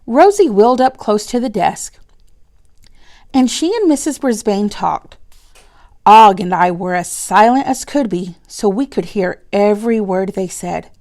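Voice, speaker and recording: Slow-paced woman reading a children's story. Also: mid-range voice, animated, a little mellow. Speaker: read-aloud storyteller reading a children's book. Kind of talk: scripted storybook narration.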